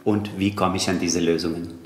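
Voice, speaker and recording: A man's voice: deep voice, level tone, normal speed, reverberant. A man with a Tamil accent explaining yoga texts in an interview, thoughtful and hesitant with fillers. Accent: Tamil-accented German. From Tamil Nadu, India. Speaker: yoga teacher and Sanskrit scholar. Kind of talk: interview answers.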